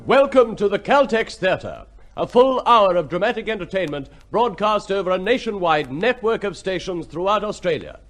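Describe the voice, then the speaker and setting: Dramatic high-pitched vintage radio announcer, male. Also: expressive, normal speed. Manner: declamatory, dramatic. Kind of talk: formal 1950s radio announcing. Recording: vintage radio broadcast with music.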